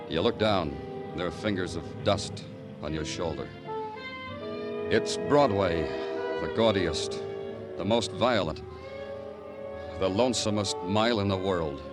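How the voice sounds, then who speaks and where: An actor playing a world-weary homicide detective, narrating poetically and deliberately in a 1950s radio crime drama. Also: male voice, low-pitched. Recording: old radio recording under loud music.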